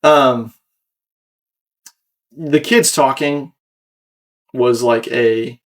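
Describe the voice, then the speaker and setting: A young American man talks casually as a podcast host. His delivery rambles as he thinks aloud and picks at his own work, with a middle-of-the-road male pitch. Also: steady tone, very slow. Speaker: podcast host and video creator.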